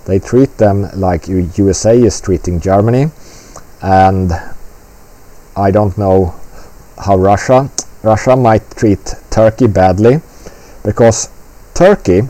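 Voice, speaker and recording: A man's voice: fairly low pitch, mellow. A man giving casual political commentary in a blunt, rambling way, swearing freely.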